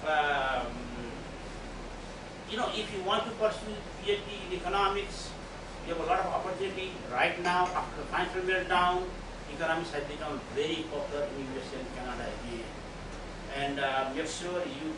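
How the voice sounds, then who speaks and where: A man's voice: on the high side, distant mic. Man lecturing in an echoey hall. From Nepal. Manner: earnest, encouraging, loud voice. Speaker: visiting professor giving a lecture. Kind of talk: informal academic talk to students. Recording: echoey hall, picked up from afar, noisy.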